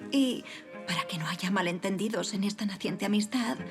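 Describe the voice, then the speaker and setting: An actress playing a poised, wry marquise in a period audio drama, with refined, courteous and formal speech. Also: female voice, mid-range voice, moderate pace, music in the background, lightly acted. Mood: polite curiosity. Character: poised, wry aristocratic marquise during the French Revolution.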